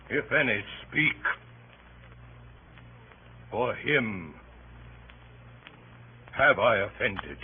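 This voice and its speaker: An actor playing a solemn, honorable Roman senator giving measured classical oratory in a radio drama. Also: male voice, mid-range voice, breathy edge, exaggerated voice. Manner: deliberate, measured rhetoric. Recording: tinny old radio recording, faint noise.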